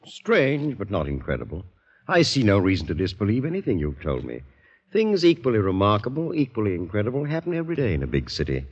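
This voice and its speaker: In a dull old radio recording, a worldly, composed older man with a husky voice. His theatrical delivery is deliberate and probing, rising and falling widely as he insinuates. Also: on the low side, wildly swooping pitch, exaggerated voice. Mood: measured doubt. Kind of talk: 1940s radio drama acting.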